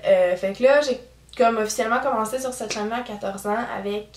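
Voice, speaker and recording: Young woman, 18, Québécois French accent, chatty vlog, fairly high voice, roomy echo. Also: quick pace. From Quebec. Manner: chatty, candid, lots of fillers. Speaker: YouTuber. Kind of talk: casual vlog chat. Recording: roomy echo, camera at a distance.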